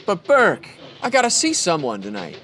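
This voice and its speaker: Lively, skeptical crime photographer man. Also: high-pitched, moderate pace, soft background music, heavily acted. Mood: skeptical, wry. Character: skeptical male crime photographer, wry newspaperman. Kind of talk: old-time radio drama acting.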